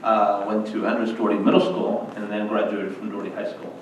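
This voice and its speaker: Brief low-voiced man, distant and echoey. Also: little pitch movement, a little fast. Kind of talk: brief answers at a meeting. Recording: echoey room, distant mic, faint hiss.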